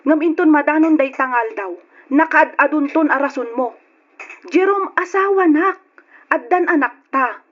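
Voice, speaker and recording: A woman's voice: sits high, natural voice. A woman playing a jealous, nagging wife who sounds wounded and accusing, in an Ilocano radio drama. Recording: muffled lo-fi old radio recording.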